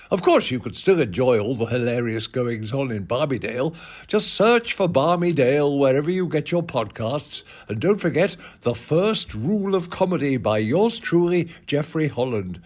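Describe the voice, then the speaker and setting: A man's voice: a little high, darkish tone, husky. A man playing a genial, chatty village vicar in a comic promo, jovial and avuncular. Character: genial, chatty male village vicar plugging his book.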